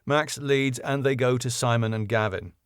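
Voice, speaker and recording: A man's voice: mid-range voice, moderate pace, clear, resonant tone, no echo, clean studio sound. A man reading stage directions from a sitcom script as scripted narration.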